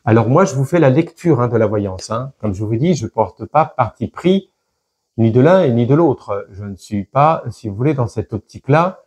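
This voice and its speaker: A man giving an unscripted fortune-telling card reading, explaining to viewers in a hesitant, halting way that often repeats phrases. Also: medium pitch, expressive, darkish tone, husky. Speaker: fortune teller, tarot card reader.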